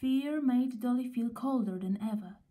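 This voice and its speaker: A woman reading a children's story aloud in a gentle, expressive way, with pauses between phrases. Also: a little high.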